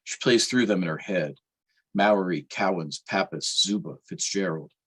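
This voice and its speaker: A man's voice: sits low, moderate pace, breathy edge. A man reading aloud from his own novel as its author, in a wry literary storytelling style.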